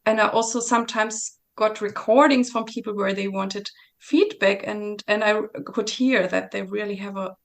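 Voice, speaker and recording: A woman singer being interviewed, talking thoughtfully about her voice with many pauses and restarts. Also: mid-range voice, fairly even intonation, gravelly. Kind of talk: reflective conversational interview.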